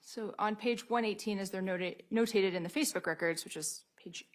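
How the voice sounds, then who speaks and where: A woman's voice: medium pitch, fairly quick. A woman working as an attorney, questioning a witness in court in a methodical, procedural way.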